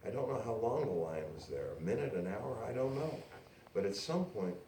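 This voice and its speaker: A man voicing a brash, excitable woman publisher with an emphatic, insistent manner in a literary reading. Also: lowish voice, moderate pace, pitched above the performer's own voice. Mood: awed, excited. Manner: emphatic, breathless insistence, calls people dearie. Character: brash, excitable woman small-press publisher, proud and gushing. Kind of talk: dialogue in a live literary reading. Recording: roomy, distant live recording with noise.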